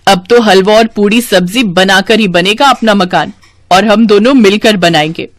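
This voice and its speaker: A woman voicing excitable young twin sisters in an expressive, chatty way for a dramatized moral story. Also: medium pitch, normal speed, no echo, lightly acted. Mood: surprised, eager.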